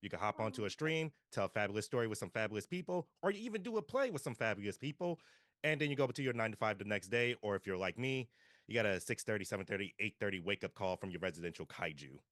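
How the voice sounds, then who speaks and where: Quick, low-voiced man chatting casually. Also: lively intonation, a bit bright, dry recording, clean studio sound. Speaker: panel guest, performer. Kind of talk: casual self-introduction. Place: remote video call livestream.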